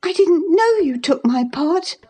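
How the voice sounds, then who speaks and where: A woman's voice: very high-pitched, animated, unhurried, mellow, faint music behind, pitched above the performer's own voice. A woman voicing a petulant, pleading young woman from a novel, earnest and insistent. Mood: contrite, pleading.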